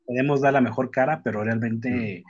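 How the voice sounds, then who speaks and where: Mexican man, husky mid-pitched voice, blunt casual talker who restarts sentences; muffled, roomy sound. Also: fairly even intonation, darkish tone. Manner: frank, slangy, halting and self-interrupting. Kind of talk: casual chat. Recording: muffled lo-fi audio in echoey room.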